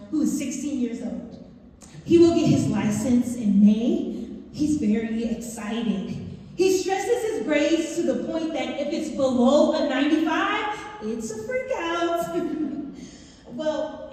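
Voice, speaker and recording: A woman's voice: sits high, dark tone. A woman telling a personal story on stage, recorded from a distance in a very echoey theatre. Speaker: speaker at a staged reading. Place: large indoor theatre. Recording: very echoey theatre hall from far off.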